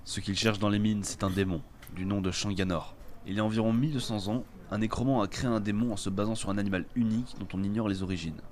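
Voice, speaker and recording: A man's voice: lowish voice, fairly even intonation, quick pace, lightly acted. A man playing a bold, self-assured adventurer in a fantasy audio drama, speaking assertively. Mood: grave storytelling. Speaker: voice actor in an amateur audio fiction. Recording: clear background noise and ambience.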